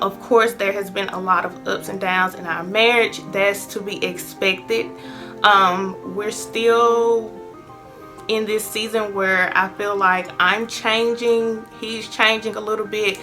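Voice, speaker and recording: A woman's voice: mid-range voice, sharp tone. A woman in her late twenties sharing personal advice in a chatty, earnest vlog, with many ums. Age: 20s. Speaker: vlogger.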